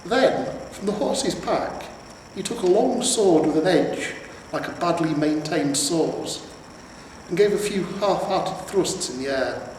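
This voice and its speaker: A man's voice: high-pitched, expressive, takes it slowly, a little mellow, distant mic. A man reading scripted fantasy fiction aloud as a storyteller. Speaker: amateur audiobook narrator. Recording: very echoey reverberant room, faint noise.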